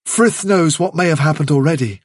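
A man's voice: a little high, brisk, dry recording, studio quality, natural voice. A man voicing a loyal male rabbit leader in an audiobook, resolute and earnest. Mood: stubborn resolve.

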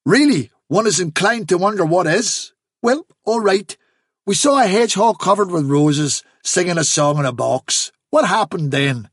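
A man voicing a wily storybook rabbit chief who sounds fatherly, reasonable and gently condescending. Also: high voice, animated, moderate pace, breathy edge, dry recording, clean studio sound, voice pushed up. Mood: wry. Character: wily male rabbit chief, fatherly, patient, mock-kindly on trial. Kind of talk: storybook character reading.

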